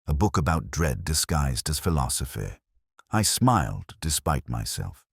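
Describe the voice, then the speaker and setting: A man reading a first-person mystery story in a measured, reflective way. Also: extremely low pitch, big pitch swings, gravelly, slightly rough, no echo. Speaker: narrator.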